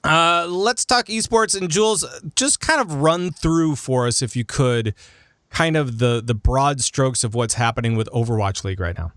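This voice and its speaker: A man hosting a casual gaming podcast, chatting in an animated, jokey way and often interrupting himself. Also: medium pitch, moderate pace, no room sound, studio quality. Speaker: podcast host.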